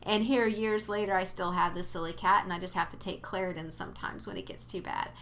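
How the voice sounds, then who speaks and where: A woman's voice: lowish voice, fairly even intonation, fairly quick, a bit bright, slight background noise. A woman teaching 3D modeling in a casual screen-recorded tutorial, chatty and rambling with playful exclamations, a bit sniffly. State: sniffly from allergies.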